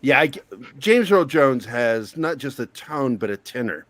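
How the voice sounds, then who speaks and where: Deep-voiced man, casual and profane. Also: unhurried, no room sound. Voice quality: deep, low voice. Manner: relaxed storyteller, swears freely. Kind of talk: casual chat.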